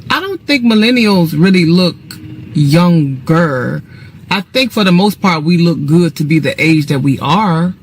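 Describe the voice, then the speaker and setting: A woman's voice: on the low side, lively intonation, unhurried, slight background noise. A woman in her late thirties giving a frank, matter-of-fact opinion in a casual video. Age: 30s. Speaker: commenter in a clip.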